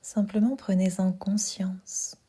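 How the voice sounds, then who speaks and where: A woman guiding a sleep meditation in a soft, half-whispered voice, calm and soothing, leaving pauses between her instructions. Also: medium pitch, slightly rough. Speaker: yoga nidra guide.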